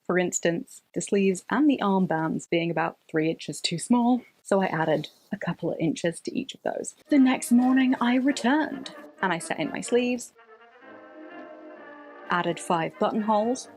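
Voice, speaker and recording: A woman narrating a scripted sewing vlog voice-over in an expressive way, with theatrical emphasis. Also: mid-range voice, unhurried, poor audio. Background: background music.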